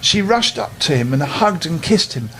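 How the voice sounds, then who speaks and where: A man's voice: fairly high pitch, very animated, moderate pace, fairly bright tone, faint music behind, rough recording. An older man reading a children's bedtime story expressively, speaking directly to his young listeners. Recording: clear background noise.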